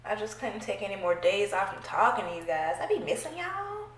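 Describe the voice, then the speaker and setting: A woman's voice: mid-range voice, big pitch swings, fairly quick, reverberant, a little hiss or hum, poor audio. A young woman with a stuffy, congested voice, chatting playfully to her viewers while giving a makeup tutorial at home. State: congested from a cold. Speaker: beauty vlogger. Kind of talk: casual makeup tutorial chat. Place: small room at home, indoors.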